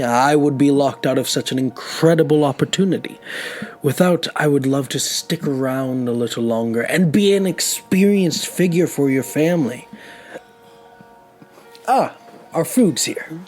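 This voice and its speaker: Guy playing an older humble man in an audio drama, grateful, halting storytelling, average pitch, music behind him. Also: darkish tone, natural voice. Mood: earnest, hopeful.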